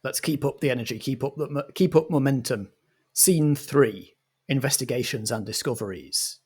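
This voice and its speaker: Man directing, halting and deliberate. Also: mid-range voice, darkish tone, slightly rough. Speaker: game master and director. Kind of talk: improvised scene-setting for a comedy show.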